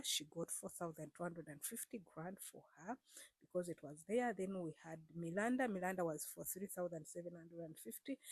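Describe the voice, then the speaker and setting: A woman's voice: fairly low pitch, husky, no room sound. A woman vlogger speaking straight to her viewers in a casual, earnest way, repeating herself and coaxing them with frequent fillers. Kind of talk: casual direct-to-camera explainer.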